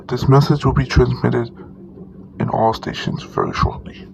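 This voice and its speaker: A man's voice: medium pitch, wildly swooping pitch, unhurried, highs cut off, full character voice. A man playing a formal official announcer giving an emergency heat warning over a noisy recording. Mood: grave, urgent. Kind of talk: scripted emergency broadcast announcement. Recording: clearly noisy broadcast-style recording.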